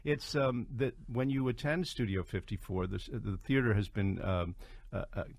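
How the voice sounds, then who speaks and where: Halting older man, writer guest. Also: on the low side, gravelly, darkish tone, dry recording. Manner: halting, many fillers. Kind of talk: radio interview.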